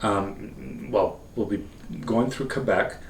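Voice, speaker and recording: Tired franco-ontarian guy teasing his cohost, lowish voice, echoey room. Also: takes it slowly, gravelly. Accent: Northern Ontario French. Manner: playful, teasing banter, switches to French. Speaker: podcast co-host. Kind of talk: casual chat. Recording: echoey room, mic at a distance, faint noise.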